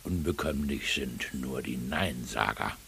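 Very deep, sly cannibal monster. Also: male voice, unhurried, creaky, a bit bright, slight background noise, full character voice. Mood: smug. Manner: mock-courteous, theatrical, insinuating. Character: sly cannibal monster who politely interrogates his victims. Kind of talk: radio drama acting.

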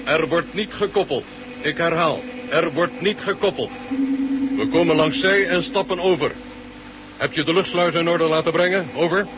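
An actor playing a gruff, impatient Soviet marshal who barks orders over the radio in a radio drama. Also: male voice, fairly high pitch, normal speed, lightly acted. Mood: brisk. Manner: brusque, barking radio orders. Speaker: marshal piloting a space shuttle. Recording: noisy old radio play recording.

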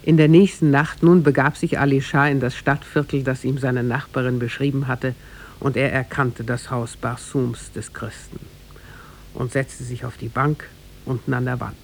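A man narrating a tale in a formal, measured 1950s radio-drama style with literary diction. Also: medium pitch, little pitch movement, creaky, slight background noise.

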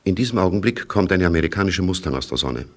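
A man's voice: low voice, lively intonation, fast. A man narrating a literary radio play about war, as a storyteller reading scripted prose. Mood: urgent. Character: male storyteller of a young soldier's war.

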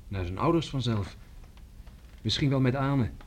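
A man's voice: medium pitch, lively intonation, a little slow. An actor playing a kindly, reserved young village man in a Dutch radio play, understated and reassuring. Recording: noisy old radio drama recording.

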